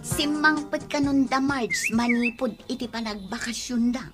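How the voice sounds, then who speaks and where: An actress playing an excitable, easily startled younger woman in an Ilocano radio comedy drama. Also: a little high, moderate pace, over loud music, lightly acted. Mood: surprised.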